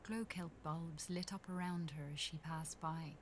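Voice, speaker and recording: A woman's voice: low-pitched, steady tone, a little slow. A woman with an Irish accent playing the storytelling narrator of an undersea fairy tale in a video game. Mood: storytelling wonder. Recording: music behind the voice. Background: game music.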